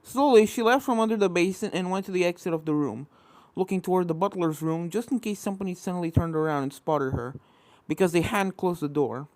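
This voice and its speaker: A woman's voice: lowish voice, brisk, a little mellow, no room sound. A woman reading a scripted fan fiction story as narrator, with spooky video game music behind her.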